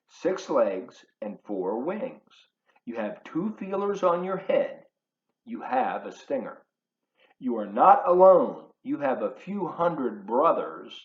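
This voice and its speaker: A man reading a picture book aloud to young children as a volunteer, playfully addressing them directly in his living room. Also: medium pitch. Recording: roomy, slightly dull home video sound.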